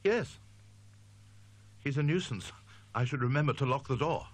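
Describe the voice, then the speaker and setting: A male narrator voicing an excitable young male scholar who talks in a nervous, stammering, evasive way. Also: medium pitch, moderate pace, no echo, pitched above the performer's own voice, close to an everyday voice. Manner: stammering, jumpy, defensive.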